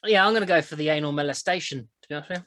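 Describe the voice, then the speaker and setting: A woman's voice: sits low, rapid-fire, fairly bright tone, dry recording. A young woman, hosting a crude casual chat podcast, blunt and giggly as she rambles. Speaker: podcast host.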